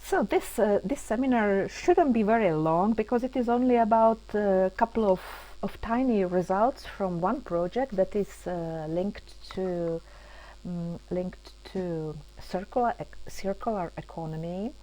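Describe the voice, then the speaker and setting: A woman hosting an online webinar in English, speaking haltingly with many fillers and restarts over a computer mic on a video call. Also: medium pitch, warm tone, rough recording. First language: Czech. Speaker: webinar host and project coordinator. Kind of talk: hesitant opening of an online webinar.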